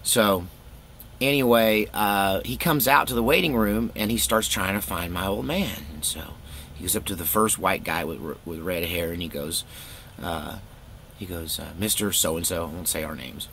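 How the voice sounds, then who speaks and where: A man's voice: low voice, moderate pace, creaky, fairly bright tone, a little hiss or hum. A middle-aged man telling personal stories in a casual, rambling, laid-back way with wry self-deprecation. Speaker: storytelling vlogger, former youth pastor.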